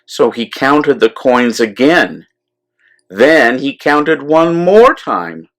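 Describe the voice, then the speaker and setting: Male storyteller for a children's read-aloud: slow, deliberate delivery, lively swings in pitch. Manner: deliberate, animated, explanatory asides to young listeners. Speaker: storyteller, priest reading aloud. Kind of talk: expressive children's picture-book read-aloud.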